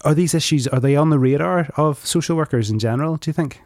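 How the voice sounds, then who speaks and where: A man's voice: on the high side, quick pace, darkish tone, dry recording. Northern irish guy hosting a podcast, jokey and chatty, dry close sound. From Northern Ireland. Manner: chatty, joking, prompts guests. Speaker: podcast host. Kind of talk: hosting a casual discussion.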